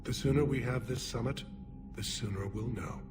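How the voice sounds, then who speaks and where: A man's voice: medium pitch, moderate pace, natural voice. An actor playing a weary, grave male alien military leader, speaking solemnly and with dignity over game music. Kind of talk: video game voice acting.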